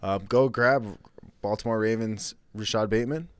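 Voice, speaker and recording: A man's voice: fairly low pitch, normal speed, clean tone, dry recording. A young man co-hosting a fantasy football podcast in a laid-back, casual chat, laughing easily.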